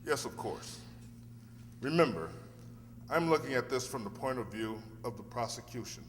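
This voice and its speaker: An actor as a crisp, forceful lawyer. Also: male voice, medium pitch, expressive, moderate pace, slight background noise, close to an everyday voice. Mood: grave, probing. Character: firm, measured, probing defense lawyer. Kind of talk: 1940s radio drama acting. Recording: reverberant old radio recording.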